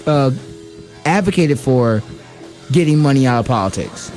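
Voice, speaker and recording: A man's voice: mid-range voice, expressive, normal speed, a little mellow, soft background music, poor audio. A man co-hosting a radio show, giving heated political commentary with many fillers and restarts.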